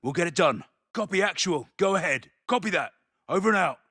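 British soldier, video game combat barks, very sharp gritty voice, dry close sound, clipped, focused, commanding. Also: male voice, high voice, expressive, brisk, husky, no echo, heavily acted. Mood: low, controlled. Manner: clipped, commanding, terse radio-style callouts. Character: tough, focused British special forces soldier.

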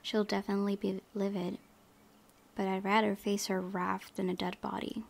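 A woman reading visual novel narration aloud as a let's play host, softly, with casual asides. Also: medium pitch, flat delivery, normal speed, vocal fry.

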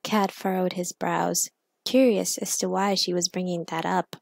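A woman narrating scripted fan fiction as an expressive storyteller. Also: fairly low pitch, measured pace, vocal fry, no echo. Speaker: narrator and author.

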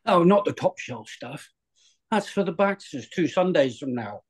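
A man reads a stiff, proper upper-class father who stammers when caught off guard. His voice is husky and slow, with wide swings in pitch. It sounds like a video-call read-through. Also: expressive.

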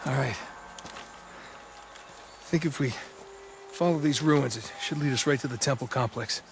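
A man's voice: medium pitch, measured pace, noisy background, lightly acted. An actor playing a cocky, flippant adventurer in his thirties in a video game cutscene, quippy and sarcastic. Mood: determined.

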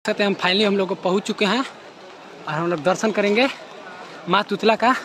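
A young man with a high voice narrates a travel vlog outdoors into a phone held at arm's length. He talks in a lively, excited way, and loud outdoor noise runs under every word. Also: moderate pace. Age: young adult. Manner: excited, calling out and cheering. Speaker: travel vlogger. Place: outdoors by a river and waterfall. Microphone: phone selfie at arm's length. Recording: noisy outdoor phone recording.

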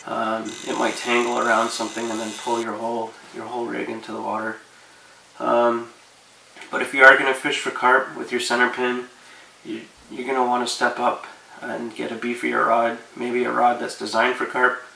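A man in his thirties giving relaxed, rambling fishing advice to camera from his living room couch, with lots of fillers. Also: mid-range voice, moderate pace, gravelly, reverberant, distant mic, slight background noise, rough recording. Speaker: fishing vlogger.

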